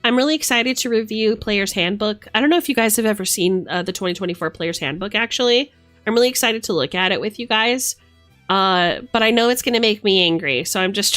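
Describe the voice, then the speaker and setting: A woman's voice: medium pitch, expressive, a little fast, fairly bright tone. Female livestreamer, mid-range voice, casual and playful, fond asides, thinks aloud during card readings, music underneath. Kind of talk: casual livestream chat while reading tarot cards.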